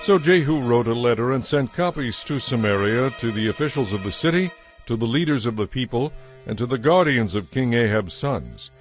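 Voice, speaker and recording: A man's voice: mid-range voice, animated, normal speed, faint music behind. A man giving scripted narration for a dramatized audio Bible.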